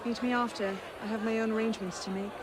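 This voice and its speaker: A woman's voice: a little high, steady tone, close to an everyday voice. Actress as shrewd, decisive woman conspirator. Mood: determined, scheming. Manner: brisk, decisive, scheming. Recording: noisy outdoor crowd behind.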